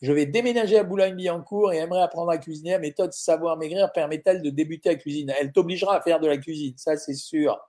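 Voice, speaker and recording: A man's voice: on the high side, fast talker, hardly any pauses, dark tone. A middle-aged man, a nutritionist answering viewer questions in a casual live session, reading comments aloud and replying bluntly with many fillers. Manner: informal, blunt, uses tu with viewers, frequent euh.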